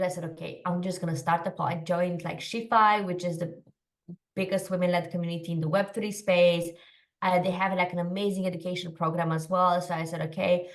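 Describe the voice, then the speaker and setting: Chatty Romanian-born woman, low voice, distant mic. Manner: quick, chatty, many fillers and "like". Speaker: podcast guest, founder. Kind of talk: casual interview storytelling.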